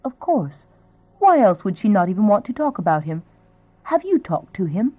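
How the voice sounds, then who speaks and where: A woman's voice: mid-range voice, very animated, normal speed, no room sound. A woman doing a gushing, eager young sister. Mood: curious. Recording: tinny, phone-like sound.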